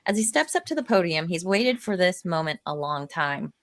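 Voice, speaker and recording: A woman's voice: on the low side, sing-song, normal speed. A woman narrating stage directions informally for a live script read.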